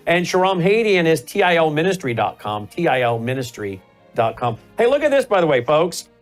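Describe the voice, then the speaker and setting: Male talk host, middle-aged, emphatic, pushes hard on rhetorical questions. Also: high-pitched. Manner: emphatic, rising to forceful questions. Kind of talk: political commentary and panel hosting.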